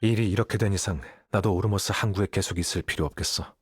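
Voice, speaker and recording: Brief: aloof young male scholar for an anime game, low and slightly husky, composed and dry. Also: fast talker, no room sound. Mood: detached, analytical. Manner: composed, matter-of-fact, dry. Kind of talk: anime-style game voice acting. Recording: faint music behind the voice.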